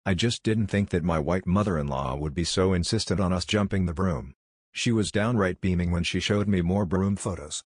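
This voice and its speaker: A man narrating forum posts and comments in a steady, even reading voice. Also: low-pitched, dry recording, clean studio sound. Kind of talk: scripted narration.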